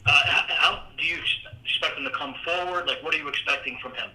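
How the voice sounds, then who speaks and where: A man's voice: fairly high pitch, a little fast, sharp tone. A man, a sports reporter, asking conversational questions on a press conference call. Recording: phone line with faint noise.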